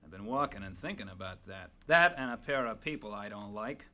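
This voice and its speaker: A man's voice: fairly low pitch, animated, brisk, bright, forceful tone, dull audio. A man playing an angry, stubborn young prizefighter with clipped menace, in 1940s radio drama style. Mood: defiant, simmering. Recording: noisy vintage radio recording.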